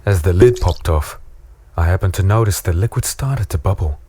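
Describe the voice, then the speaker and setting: A man playing a battered, weary human in a dark fantasy audio drama, wry and fatalistic as he tells his story. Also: low voice, moderate pace, slight background noise, close to an everyday voice. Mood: hushed recounting.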